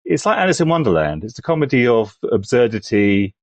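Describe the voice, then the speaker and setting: A man talking as a podcast panellist, thoughtful and halting, building his points gradually in conversation. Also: mid-range voice, very animated, dry recording. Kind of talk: conversational film discussion.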